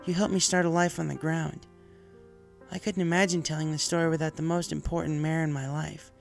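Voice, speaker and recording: A man's voice: fairly high pitch, little pitch movement, brisk, gravelly, faint music behind, voice pushed up. A man voicing a brash, earnest young female pegasus pony in a story reading, casual and heartfelt.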